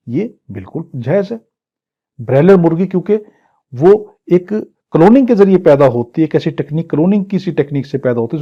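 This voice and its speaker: A man with a Pakistani accent teaching law, reading English legal phrases slowly and explaining them in Urdu in an emphatic, didactic way. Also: on the high side, dark tone, breathy edge. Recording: dull, muffled lo-fi audio.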